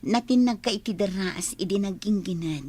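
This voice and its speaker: A woman's voice: medium pitch, normal speed, a little mellow, husky, lightly acted. A woman with an Ilocano Filipino accent playing an attentive wife in a radio drama. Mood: reassuring. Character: attentive woman posing as an amnesiac man's wife.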